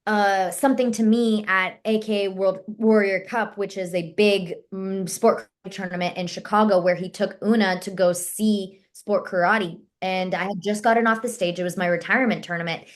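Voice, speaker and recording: A woman's voice: medium pitch, moderate pace, few pauses. A woman actress and martial artist, telling her story in a casual interview and acting out the dialogue she quotes. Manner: animated, acts out quoted dialogue in her stories.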